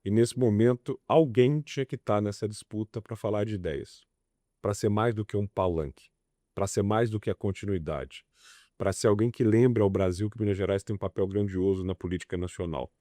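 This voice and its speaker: A man's voice: on the low side, fairly quick, mellow, no echo, clean studio sound. A man, answering interview questions with emphatic, passionate delivery. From Belo Horizonte, Brazil. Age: 40s. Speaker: political candidate guest.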